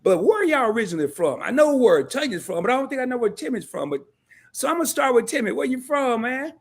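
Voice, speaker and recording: A man hosting a live-streamed interview in a casual, animated way, laughing often and using relaxed slang. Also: extremely high pitch, fast talker.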